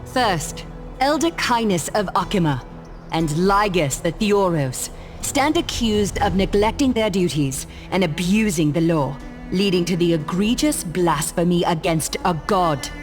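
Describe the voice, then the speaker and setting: A commanding demigod lady in a game, her mid-pitched voice moving expressively. She is poised and formal, issuing judgment with regal authority over faint music. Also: lively intonation, measured pace. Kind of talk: video game cutscene voice acting.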